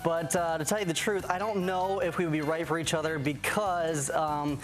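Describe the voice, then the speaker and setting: A man's voice: a little high, steady tone, normal speed, music in the background. A young man from Ohio, a TV staffer, speaking in a hesitant, wry way with many fillers in a studio.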